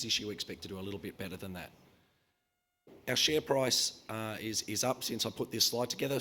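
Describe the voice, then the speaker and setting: A man's voice: on the low side, a bit bright. A man, losing his voice, giving a brash, confident conference presentation as a mining company chief. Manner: brash, confident, quick-fire delivery. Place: conference hall.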